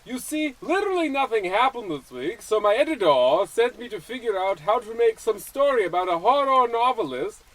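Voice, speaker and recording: Brash radio-comedy newshound: extremely high, animated, slick and exclamatory. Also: male voice, moderate pace, hardly any pauses, rough recording. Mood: brash, eager. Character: brash, scoop-hungry old-time male newspaper reporter. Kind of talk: old-time radio comedy acting.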